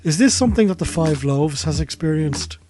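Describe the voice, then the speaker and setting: A man interviewing and narrating in a measured, formal way for a podcast. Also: on the high side, lively intonation, music in the background. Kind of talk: scripted narration and questions.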